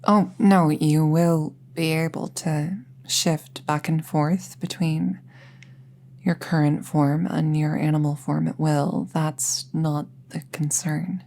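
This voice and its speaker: A woman playing an earnest apprentice witch in an intimate roleplay audio drama, speaking in a hesitant, gently reassuring way. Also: deep voice, level tone, slow, gravelly, exaggerated voice. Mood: reassuring, explanatory. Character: earnest, careful apprentice witch, close friend to listener.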